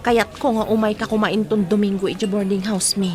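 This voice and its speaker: A woman's voice: medium pitch, level tone, brisk, crowd noise, close to an everyday voice. A woman playing a frank, persuasive young working woman in an Ilocano radio drama, with an Ilocano accent.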